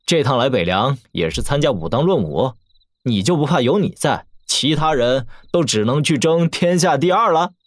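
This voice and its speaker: A man's voice: mid-range voice, lively intonation, normal speed, dry recording, close to an everyday voice. A man playing a playful young prince in an audio drama, casually commanding and full of mock-polite banter. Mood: teasing.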